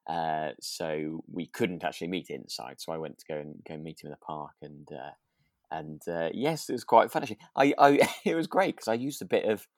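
A man's voice: a bass voice, animated, a little fast, dry recording, studio quality. A British man co-hosting a casual podcast about a radio drama, speaking hesitantly with chuckles as he talks. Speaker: podcast co-host and actor.

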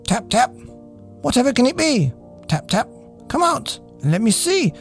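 Woman as stuffy indignant lord, high swooping voice, fussy scolding manner, storybook telling, faint music behind. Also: very animated, moderate pace. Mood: fussy, shocked. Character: pompous, outraged board president, Lord Cookerface, shooing a dog. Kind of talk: children's storybook character voice.

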